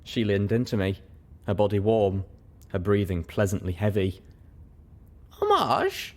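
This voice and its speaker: A man reading first-person story narration as an audiobook, in an expressive, dramatic way. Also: lowish voice, normal speed. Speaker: audiobook reader.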